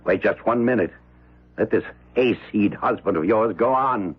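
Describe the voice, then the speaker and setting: An actor playing a domineering, brash old American millionaire who blusters in slang, in a radio drama. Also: male voice, on the low side, moderate pace, slightly rough. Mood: scornful. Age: older. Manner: blustering, slangy, repeating himself. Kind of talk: 1970s radio drama acting. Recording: muffled old radio broadcast, music behind.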